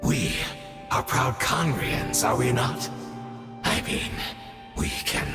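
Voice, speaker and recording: A weary old immortal swordsman from a fantasy game, taunts with slow, theatrical politeness. His intonation rises and falls widely, sardonic and worn. The voice rings in a long reverb over faint music. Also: medium pitch, big pitch swings, gravelly, full character voice. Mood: solemn. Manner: deliberate, theatrical, mockingly polite. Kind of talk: dramatic video game voice acting.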